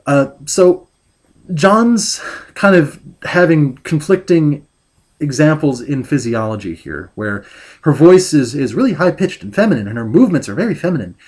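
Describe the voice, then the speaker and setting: A man's voice: fairly high pitch, a little mellow. A man giving a critical book review as a rant. He sounds exasperated, stops and restarts often, and records at home on a desktop mic. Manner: exasperated, ranting; halting, full of restarts.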